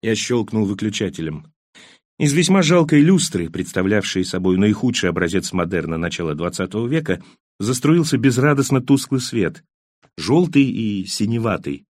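A man's voice: lowish voice, expressive, moderate pace, darkish tone, no room sound, clean studio sound. A man reading literary prose as an audiobook narrator, acting out the dialogue in a scripted style.

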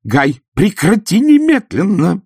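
A man voicing an imperious, scornful aristocratic old baroness who scolds in a haughty, sharp manner. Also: medium pitch, huge pitch swings, moderate pace, creaky, slightly rough, no room sound, studio quality, voice pushed up, exaggerated voice. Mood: scolding. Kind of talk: audiobook character voice.

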